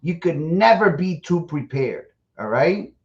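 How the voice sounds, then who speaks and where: A man's voice: a little high, sing-song, a little slow, a little mellow. A man from Guatemala chats with his livestream viewers in a loose, boastful, joking way. He restarts his sentences and trips over words as he goes. The audio is a little dull and muffled. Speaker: survival-prep livestream host. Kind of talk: casual live-stream chat to viewers.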